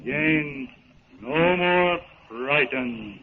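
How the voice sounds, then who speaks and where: A simple jungle ape-man, guarding and earnest. He speaks in halting, broken English with a somewhat high male voice. It comes through a noisy, phone-thin 1930s radio recording. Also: expressive, narrow, tinny audio. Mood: protective, reassuring. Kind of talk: 1930s radio serial acting.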